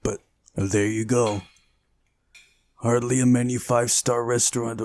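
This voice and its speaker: Male game host, unhurried delivery, middle-pitched voice, reading story text aloud, casual asides, music playing behind. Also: little pitch movement, darkish tone. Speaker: gaming channel host. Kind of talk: visual novel read-aloud narration with casual asides.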